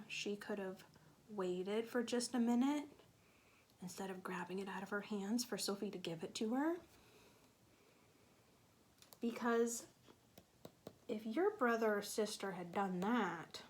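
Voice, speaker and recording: A woman teacher reading a picture book to young children, explaining patiently and pausing to ask them questions. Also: mid-range voice. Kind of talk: children's read-aloud with questions to listeners.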